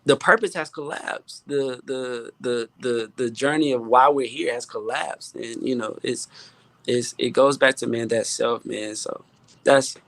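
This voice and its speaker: A man's voice: medium pitch, a little slow, vocal fry, a bit bright, dry recording. A man, a music producer and guest, talking in an impassioned, preaching style, earnest and emphatic, often repeating his phrases for weight. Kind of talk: impassioned, preaching-like panel chat.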